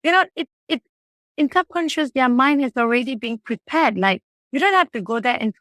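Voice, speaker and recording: A woman whose mother tongue is Tamil speaks in a fairly high voice, animated and earnest, often circling back to restart a thought. The sound is close and dry, with almost no room around it. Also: normal speed, dry recording. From Malaysia. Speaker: childcare center director. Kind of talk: conversational interview.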